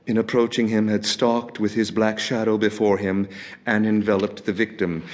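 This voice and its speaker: A man performing a dramatic gothic horror monologue as a crazed, obsessive murderer confessing with feverish glee, theatrical and halting, with drawn-out words and repetitions. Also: fairly low pitch, a little mellow, close to an everyday voice. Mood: hushed, brooding.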